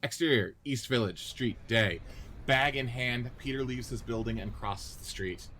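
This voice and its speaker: A man's voice: medium pitch, moderate pace, sharp tone, slight background noise. A man giving scripted narration of stage directions and scene headings for a radio-play reading.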